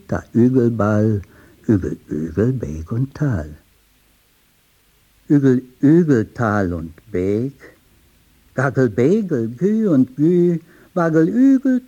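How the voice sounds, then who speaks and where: Slow chanting man, dull archival sound. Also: medium pitch, warm tone, husky. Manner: rhythmic, chanting, playful syllable play. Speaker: poet reciting sound poetry. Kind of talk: sound poetry recitation.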